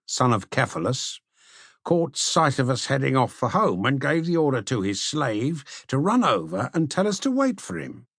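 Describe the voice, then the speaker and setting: A man narrating a literary audiobook in an expressive storytelling manner, with a gravelly, husky voice. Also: mid-range voice, normal speed, no room sound, studio quality. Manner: expressive, storytelling delivery with shifts for dialogue. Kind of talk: scripted literary narration with character dialogue.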